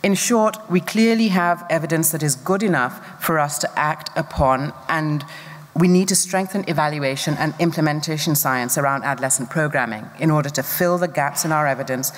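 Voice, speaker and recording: Conference presenter, formal and measured, middle pitch, long hall reverb. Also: slight background noise. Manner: measured, deliberate, scripted delivery.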